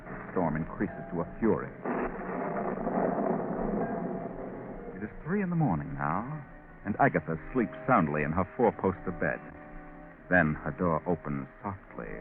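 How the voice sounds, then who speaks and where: A sinister, very low-voiced male narrator taunts and menaces in theatrical old radio style. The sound is tinny and narrow, with music clearly playing behind him. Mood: ominous. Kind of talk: 1940s radio suspense narration. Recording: tinny band-limited 1940s radio recording.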